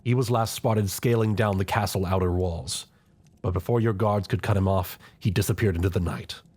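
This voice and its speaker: A man acts out an older chancellor of a fantasy royal court in an audio roleplay. His voice is low and somewhat rough-edged. He speaks with formal, deliberate courtesy, grave and loyal to the crown, with a scheming streak. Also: steady tone, a little mellow. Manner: formal, deferential, measured courtly speech.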